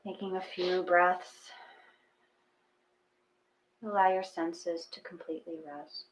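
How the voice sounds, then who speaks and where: A woman reading a gentle guided meditation in a soothing manner, in short phrases with pauses between them. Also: lowish voice, little pitch movement, low-quality recording.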